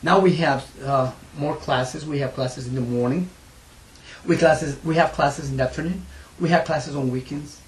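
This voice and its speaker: A man's voice: on the high side, fairly even intonation, rough recording. Ecuadorian man with a heavy Spanish accent. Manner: earnest, halting with many fillers and restarts. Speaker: interviewee, school owner. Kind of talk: personal story in an interview.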